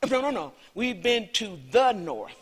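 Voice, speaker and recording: A woman's voice: fairly high pitch, sing-song, unhurried, husky. Chatty Southern woman in a hall. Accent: Southern US (Alabama). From Alabama, USA. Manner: chatty, rambling, self-deprecating asides. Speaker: visiting lecturer. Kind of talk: conference talk. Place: large lecture hall. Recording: reverberant hall.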